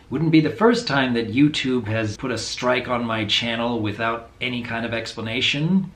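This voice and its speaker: A man in his thirties speaks straight to the camera with a low, mid-pitched voice, earnest and thoughtful. His words echo a little in a small room at home. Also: a bit bright. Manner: earnest, thoughtful, openly unsure. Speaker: video creator. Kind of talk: talking to camera vlog.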